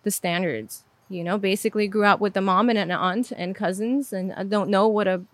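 A woman's voice: medium pitch, fairly even intonation, brisk, no echo. A woman from Armenia, a guest in a casual interview, telling her life story openly and reflectively. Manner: open, reflective storytelling with fillers. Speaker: guest, video director.

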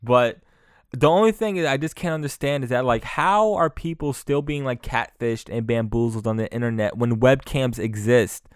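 A young man rants alone into his podcast mic, cursing, mocking, and tripping over his own restarts. His voice sounds smooth and clear, and the recording is close and dry, with no room sound. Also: mid-range voice, moderate pace, no echo. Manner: profane, mocking, rambling with many restarts. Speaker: podcast host. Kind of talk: solo comedic rant.

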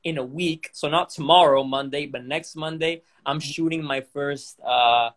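Bright-voiced Italian man joking around. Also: fairly high pitch, a little slow. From Italy. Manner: animated and joking. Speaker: guest actor. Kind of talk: casual chat. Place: livestream podcast.

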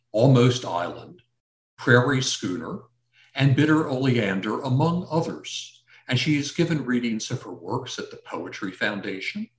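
Guy introducing a poet, echoey room, sounds a bit far from the mic. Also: medium pitch. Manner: reads a list of credits with a slip. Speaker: host introducing a speaker. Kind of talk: formal introduction.

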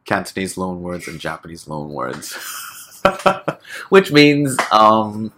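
A man from Hong Kong, a guest chatting casually in an interview, relaxed and full of fillers, laughing often. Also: low voice, slow pace, slight background noise.

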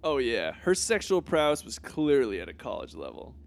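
A man's voice: medium pitch, huge pitch swings, normal speed, creaky. A man voicing a crude, petulant teenage boy superhero in an adult cartoon parody. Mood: boastful.

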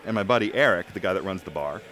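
A man's voice: low voice, lively intonation, fast talker, a bit bright, faint music behind. A man playing a blunt, chatty soccer supporter in a casual audio drama. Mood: indignant storytelling. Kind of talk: casual, naturalistic drama dialogue. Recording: busy crowd and traffic noise.

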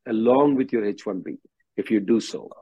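A husky-voiced man giving direct, instructive legal advice as the attorney hosting a question-and-answer call. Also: lowish voice, normal speed, dark tone, no room sound. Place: conference call, close dry sound. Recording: dull, muffled conference-call audio.

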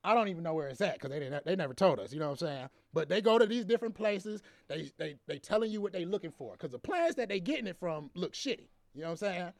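A man hosting a podcast in casual, slangy chat, excitable and often repeating himself. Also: sits high, fast talker, vocal fry, few pauses, no echo. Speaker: podcast host.

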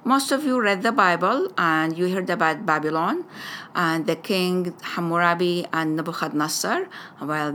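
A woman with an Iraqi Arabic accent, her native tongue Arabic, telling her story in slow, careful English. Her voice sits a little low, and she pauses often as she picks her words. A faint hiss runs under the recording. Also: a bit bright. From Iraq. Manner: careful, deliberate phrasing with frequent hesitations. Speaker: parent sharing her background. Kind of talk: personal testimony to a school audience.

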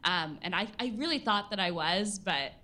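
A woman's voice: medium pitch, expressive, moderate pace, pushed and bright, slight background noise. A woman reporter answering audience questions at a live listening event, self-deprecating and hesitant with frequent fillers. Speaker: workshop student reporter. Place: listening party in an event space.

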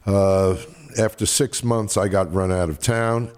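An older man with a very deep voice speaks slowly as a podcast guest. He hesitates often and pads his speech with fillers. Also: steady tone, darkish tone.

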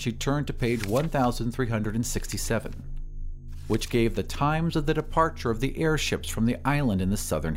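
Male story narrator, mid-pitched voice, measured delivery, expressive literary reading, light music bed. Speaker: narrator of an audio story podcast. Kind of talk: scripted dramatic story narration.

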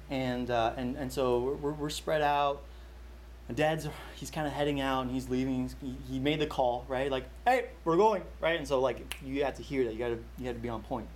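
A man's voice: medium pitch, slight background noise. A young man leading a Bible study, telling a personal story in a casual, chatty way with many fillers and restarts.

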